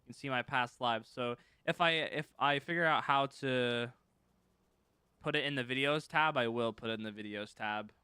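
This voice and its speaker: A man's voice: mid-range voice, normal speed, bright, forceful tone, smooth, no room sound. A man casually teaching scripting on a live stream, thinking aloud as he works and chatting with viewers. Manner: thinks aloud while working, loose and informal, reads chat and answers.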